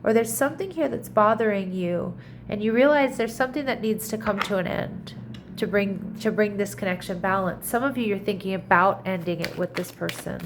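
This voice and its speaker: A woman with a mid-pitched, slightly congested voice reads tarot cards in a relaxed, chatty way. She reassures the listener and often restarts her sentences as she thinks out loud. A faint hiss sits behind her voice. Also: brisk. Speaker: tarot reader. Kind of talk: casual tarot reading to camera.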